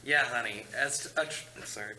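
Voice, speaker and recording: Guy doing snide campy male ghost, really sharp voice, sarcastic, echoey room. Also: medium pitch, moderate pace. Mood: sassy, withering. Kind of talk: comedy script table read. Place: large carpeted meeting room. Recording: echoey meeting room, noticeable hiss.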